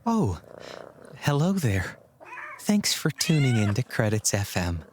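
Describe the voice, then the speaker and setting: Man as a slow, swooping, whimsical radio announcer. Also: medium pitch, very animated, slight background noise. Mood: playful, delighted. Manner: theatrical, savoring, playful asides. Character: whimsical, genteel, playful radio credits announcer. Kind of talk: comic radio announcing of credits.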